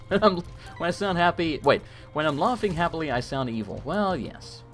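Hoarse, animated man commentating gameplay. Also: a little high, normal speed, faint music behind. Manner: animated, frantic, swears often. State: hoarse, losing his voice. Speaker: gaming streamer.

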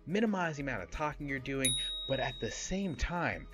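A man in his thirties gives blunt, playful fitness advice straight to camera, his pitch rising and falling with lots of energy. He speaks close to a podcast mic in a small home room, and music plays behind him. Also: moderate pace. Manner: animated, blunt and playful, with casual swearing. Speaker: fitness YouTuber host. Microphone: close podcast microphone, seen.

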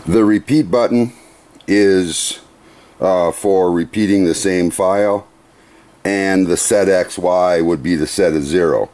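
Middle-aged male demonstrator, workshop, low pitch, slow methodical plain delivery. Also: a little mellow. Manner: unhurried, methodical, plain-spoken with small restarts. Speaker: CNC machine demonstrator. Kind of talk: hands-on equipment demonstration. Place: workshop beside a CNC router.

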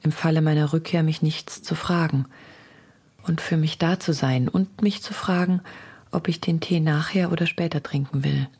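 A woman's voice: sits low, fairly even intonation, moderate pace, darkish tone, breathy edge. An actress playing a woman writer confiding an intense, resolute love letter, intimate and half-whispered, for a radio feature. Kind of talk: dramatic reading of a personal letter in a radio feature. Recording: music under the voice.

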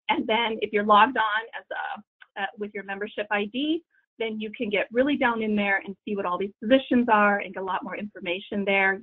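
Woman, webinar host, chatty, informal, slightly high voice, tinny phone-like audio. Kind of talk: webinar moderation. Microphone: video call.

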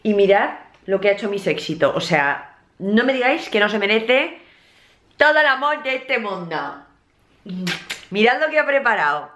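A woman's voice: fairly high pitch, moderate pace. Chatty Spanish woman vlogging at home. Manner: chatty, expressive, chatty asides to viewers. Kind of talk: casual vlog chat. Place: small apartment living room, indoors. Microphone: handheld camera at arm's length.

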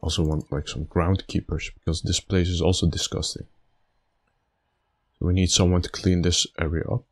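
Low voiced gamer guy, bit raspy and stuffed up, just chatting thru his game as he thinks. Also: level tone, normal speed. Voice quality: slightly raspy. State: stuffy nose after being sick. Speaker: gaming video host. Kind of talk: casual gameplay commentary.

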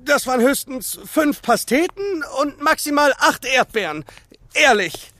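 A man's voice: extremely high pitch, animated, measured pace, bright, forceful tone, no echo, full character voice. A man playing a cheeky, carefree, always hungry young boy in a children's audio drama.

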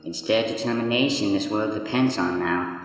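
A kindly, regretful flower creature played by a man from a comic dub. The voice sits a bit low and is earnest and reassuring, ringing with heavy echo over music. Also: normal speed, close to an everyday voice. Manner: hesitant, earnest. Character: gentle, remorseful talking flower, earnest and reassuring. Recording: cavernous reverb over background music.

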